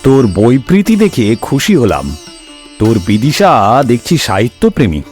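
A man tells a comic cartoon story. He speaks slowly and wryly, with theatrical swings in pitch. Faint music sits behind the voice. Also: very animated, darkish tone. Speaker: storyteller host. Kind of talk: scripted comic cartoon narration.

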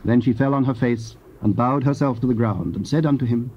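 A man's voice: medium pitch, brisk, breathy edge. A man giving solemn, scripted narration of scripture. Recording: dull, lo-fi old cassette.

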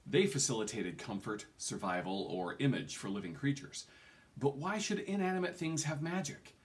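A middle-aged man reading a story aloud in a theatrical, deliberate, expressive way. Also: on the low side. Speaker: reader. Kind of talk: expressive audiobook-style storytelling, read aloud. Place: small carpeted room at home.

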